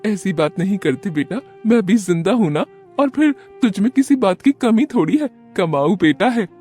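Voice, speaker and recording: A fond old grandmother from a storybook cartoon, played by a woman, keen on arranging matches. She speaks with insistent affection, pitched up from plain narration, over music. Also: expressive, normal speed, mellow, natural voice. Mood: affectionate, coaxing. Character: kindly elderly grandmother, persuasive busybody matchmaker.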